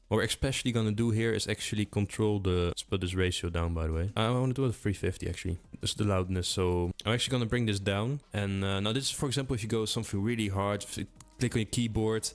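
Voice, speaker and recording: Man presenter, quick relaxed delivery, slightly low voice, talks straight to the viewer. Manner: relaxed, chatty, addresses viewer directly. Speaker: tutorial presenter. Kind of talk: explaining audio settings step by step.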